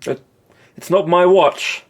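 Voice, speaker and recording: An actor playing a tired male asylum doctor in radio drama dialogue, brisk and reluctant. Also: high-pitched, very noisy.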